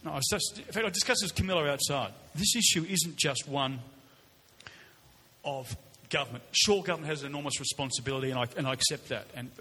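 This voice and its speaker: A middle-aged Australian man with a loud voice, answering as a politician at a public forum, repeating words haltingly. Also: mid-range voice. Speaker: politician on a panel. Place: large indoor hall, public forum. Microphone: shared event microphone. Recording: echoey hall with background noise.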